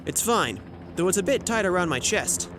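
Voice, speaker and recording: A man's voice: high-pitched, very animated, moderate pace, noisy background. A man playing an earnest, nervous young war reporter in an audio drama, questioning and narrating events. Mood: questioning, dry. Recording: music behind the voice.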